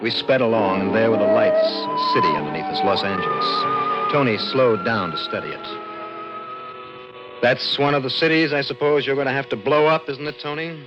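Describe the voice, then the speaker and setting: A man's voice: mid-range voice, huge pitch swings, fairly quick. An actor in an old radio drama playing a wary, plain-spoken, folksy man who narrates his encounter and questions a visitor. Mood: uneasy persuading. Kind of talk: 1940s-50s radio drama acting and narration. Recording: muffled vintage radio recording, music behind.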